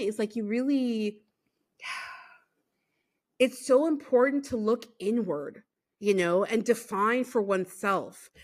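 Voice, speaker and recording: A woman from Los Angeles hosting a podcast, chatting animatedly with lots of fillers and laughter. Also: a little high. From Los Angeles, USA. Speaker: podcast host. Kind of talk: casual interview chat.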